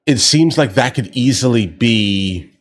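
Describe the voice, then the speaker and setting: A man's voice: medium pitch, animated, unhurried. An American man hosting a reaction video, giving casual political commentary and often addressing viewers directly in a conversational way.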